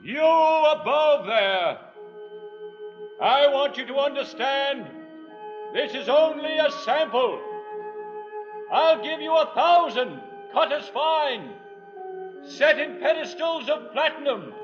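A proud, wealthy patriarch in an old radio play, pitched extremely high. He declaims grandly and desperately, dragging out his words. The sound is muffled, with music underneath. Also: expressive, takes it slowly, long pauses, heavily acted. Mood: grandiose, commanding. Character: proud rich patriarch loudly bribing God in desperation. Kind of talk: 1940s radio drama acting.

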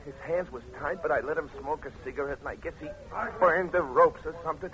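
An actor playing a crude, callous gangster henchman in a 1950s radio crime drama, with slangy, rough tough-guy talk. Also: a little high, fast talker, crowd noise, natural voice. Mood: sheepish. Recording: dull, noisy old radio recording.

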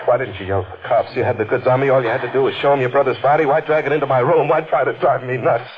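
An actor playing a bitter, desperate, dying killer in a 1940s radio thriller, speaking in terse hard-boiled phrases. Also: male voice, medium pitch, rapid-fire, close to an everyday voice. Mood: desperate, accusing. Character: dying male killer, bitter and desperate, narrating his end. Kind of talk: 1940s radio thriller acting, first-person narration. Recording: noisy, muffled old radio broadcast.

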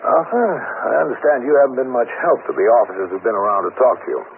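An actor playing a calm, businesslike police captain who speaks and narrates with matter-of-fact authority in a 1950s radio drama. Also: male voice, medium pitch, quick pace, close to an everyday voice. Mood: matter-of-fact, firm. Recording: scratchy, narrow-band 1950s radio recording.

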